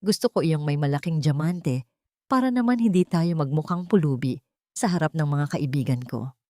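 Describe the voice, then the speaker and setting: A woman narrator plays a vain older rich mother-in-law, haughty and demanding. The Filipino-accented voice is lowish, in a dry audio story recording. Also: lively intonation, normal speed, warm tone, no room sound.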